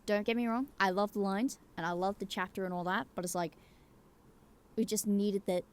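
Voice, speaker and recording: A woman's voice: mid-range voice, fairly even intonation, normal speed, clean tone. A woman giving casual, rambling opinion commentary in an emphatic way, swearing casually and checking in with the listener. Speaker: YouTuber giving game opinions.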